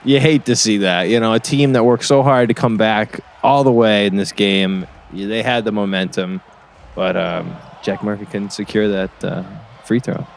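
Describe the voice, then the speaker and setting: A man's voice: on the low side, steady tone, moderate pace, creaky. A man playing an earnest, emotionally invested basketball color commentator in an audio drama, hesitant and easily wowed. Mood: let down. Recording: basketball game noise behind.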